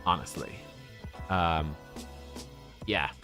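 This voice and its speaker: A man's voice: low voice, fairly even intonation, drawn-out words, bright, forceful tone, soft background music. A man in his thirties chatting casually with viewers on a game livestream, rambling and joking at his own expense. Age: 30s.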